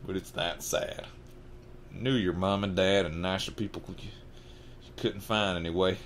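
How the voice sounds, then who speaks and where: Kindly, anxious storybook giant, played by a man: low, folksy Southern drawl, halting delivery, some background noise. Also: steady tone. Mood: sad. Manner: halting, folksy, dropped consonants.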